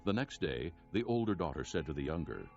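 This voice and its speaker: Super deep bible narrator guy, solemn but animated delivery, music behind. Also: fairly quick, creaky, highs cut off. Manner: solemn storytelling. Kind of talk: scripted dramatic Bible narration.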